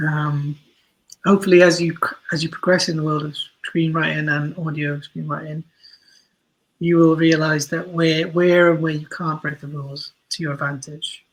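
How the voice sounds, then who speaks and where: A man's voice: fairly high pitch, little pitch movement, moderate pace, poor audio. A man giving thoughtful, opinionated answers as an interview guest, repeating points for emphasis. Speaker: interview guest, audio drama writer.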